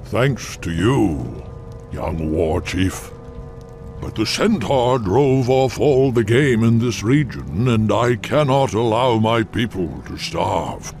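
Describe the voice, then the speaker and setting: Big old minotaur chief for a video game, proud n solemn, slow talker, faint music behind. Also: male voice, on the low side, expressive, vocal fry, darkish tone, full character voice. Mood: grave, respectful. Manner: slow, solemn, formal speech. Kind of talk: fantasy video game acting.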